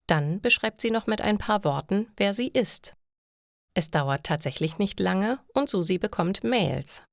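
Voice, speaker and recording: Low-pitched woman narrator, dry studio sound. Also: dry recording. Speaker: narrator of a language-learning story. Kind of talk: scripted narration of a short story for German learners.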